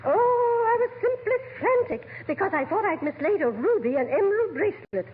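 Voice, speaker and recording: A woman's voice: very high voice, very animated, fairly quick. A woman acting an affected, scatterbrained society lady in 1940s radio comedy style, gushing and genteel. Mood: fluttery silliness. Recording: tinny, very noisy old-time radio recording.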